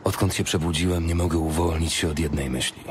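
An actor playing a noble, earnest paladin with a teasing streak in an audiobook drama. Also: male voice, low voice, fairly even intonation, quick pace, noisy background, close to an everyday voice. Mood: grave, worried.

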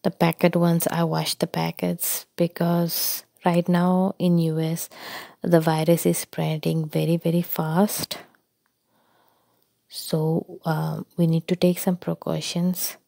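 Slow, gravelly-voiced woman from India. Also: fairly low pitch, flat delivery, no room sound, studio quality. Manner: slow, soft-spoken, many ums and uhs. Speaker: vlogger. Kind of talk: casual narration over driving footage. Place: inside a car.

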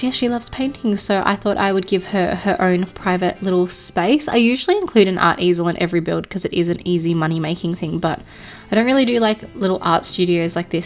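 Aussie lady in her 30s, stuffy nose, kinda low voice, rambly voiceover with soft music behind. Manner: rambling, many ums and likes. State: nasally, blocked nose. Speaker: game build creator. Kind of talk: casual voice-over commentary on a game build. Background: soft background music.

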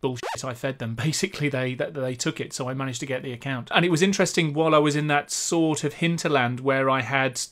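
Guy in his 40s on a tech podcast, mid pitched voice, explains stuff carefully. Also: fast, few pauses, fairly bright tone. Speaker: podcast co-host. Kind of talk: casual tech podcast chat. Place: small home office.

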